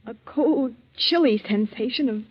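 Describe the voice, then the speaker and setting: Casting: earnest young heroine, high breathy voice, tremulous yet resolute, old radio thriller. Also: female voice, unhurried. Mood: uneasy. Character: anxious but resolute young woman. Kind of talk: 1940s radio thriller acting. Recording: tinny, muffled 1940s radio recording.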